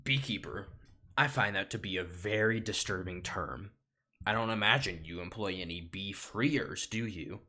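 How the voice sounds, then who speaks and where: A man's voice: fairly low pitch, normal speed, bright, forceful tone. A man voicing an earnest, indignant little honeybee pleading in court, in an amateur solo script reading. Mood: accusing.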